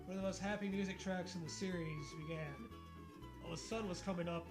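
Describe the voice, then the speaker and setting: A man's voice: high-pitched, fairly even intonation, fast, over music. A man co-hosting a comic read-aloud of fan fiction, breaking into sarcastic, sweary casual banter. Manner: sarcastic, profane riffing.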